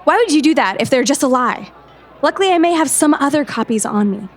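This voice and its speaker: Lady doing a feisty indignant girl, high animated voice, assertive, radio play. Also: brisk, soft background music. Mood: indignant, urgent. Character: bold, indignant young woman exposing the truth. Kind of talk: audio drama acting.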